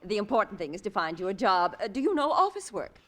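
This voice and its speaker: An actress playing a polite, businesslike employment agency clerk in a vintage radio sitcom. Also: female voice, medium pitch, big pitch swings, fast talker, fairly bright tone. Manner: patient, polite, amused. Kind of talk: 1940s radio sitcom acting. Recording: old radio broadcast with studio audience laughter and hiss.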